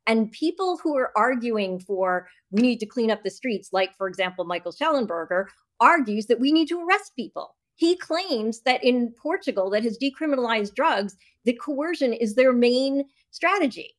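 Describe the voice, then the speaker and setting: A woman's voice: fairly high pitch, lively intonation, normal speed, hardly any pauses. A woman interview guest debating and explaining her position in an insistent, argumentative manner. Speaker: guest, author and journalist.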